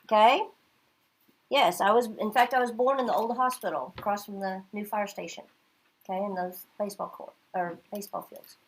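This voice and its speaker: Middle-aged oklahoma teacher lady, kinda husky, keeps asking okay?. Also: medium pitch, steady tone, normal speed, low-quality recording. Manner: chatty, checks in with "okay?" often. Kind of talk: classroom teaching.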